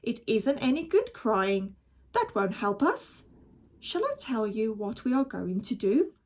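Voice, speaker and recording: Determined father figure for a children's tale, read by a woman: firm, slow, emphatic, takes charge. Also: a little high, big pitch swings, a little mellow. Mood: reassuring, resolute. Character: tiny father, practical, bossy, determined leader.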